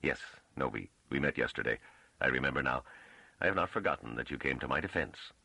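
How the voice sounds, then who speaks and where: A haughty scholar of high rank, as a male narrator plays him, talks in a very low voice with a husky edge. He is pompous and peevish, curt and condescending to those beneath him. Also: lively intonation, fairly quick, bright, forceful tone, no echo, heavily acted.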